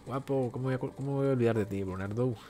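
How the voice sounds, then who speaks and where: A man's voice: medium pitch, rapid-fire, a little hiss or hum. Chatty young streamer greeting his viewers. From Venezuela. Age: young adult. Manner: chatty, warm greetings to viewers, reads chat aloud. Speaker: gaming streamer. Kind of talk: live gameplay stream, answering chat. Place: home room at a desk. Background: faint game music.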